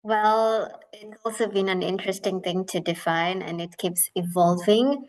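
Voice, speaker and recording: A woman from Kenya, a founder speaking in a measured, thoughtful way on a panel. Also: medium pitch, a little mellow, low-quality recording. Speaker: founder of a women-run craft enterprise. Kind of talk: panel discussion.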